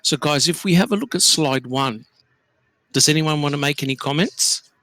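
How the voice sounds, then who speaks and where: A man's voice: a little high, animated, moderate pace, pushed and bright, a little hiss or hum. An Australian man hosting a casual group discussion, leading the talk with questions and lots of fillers. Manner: leads with questions, many fillers. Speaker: podcast host.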